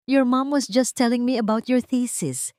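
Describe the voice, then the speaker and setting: A woman's voice: a little high, moderate pace, a little mellow, dry recording, clean studio sound, pitched above the performer's own voice, natural voice. A woman doing an overfamiliar smiling man. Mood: friendly. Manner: breezy and overly friendly. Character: overfamiliar smiling man, mother's new partner.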